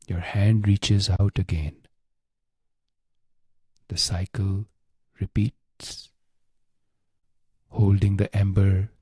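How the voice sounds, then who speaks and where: A man leads a guided meditation in a low, soft-edged, mellow voice. He speaks very slowly and deliberately, with a hushed, solemn delivery and long pauses. The sound is close and dry. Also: breathy edge, no echo. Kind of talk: slow scripted guided meditation.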